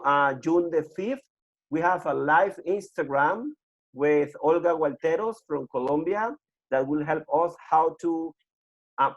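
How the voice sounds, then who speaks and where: A man hosting a webinar on a video call, giving informal closing announcements in a halting way and repeating himself. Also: a little high. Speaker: webinar host. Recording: muffled video call audio.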